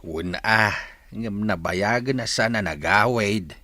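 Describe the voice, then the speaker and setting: Old ilocano village dad, radio drama, low bright-edged voice, very dry sound. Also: male voice, slow, no echo. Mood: wistful, resigned. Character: slow-spoken elderly village father, resigned and longing.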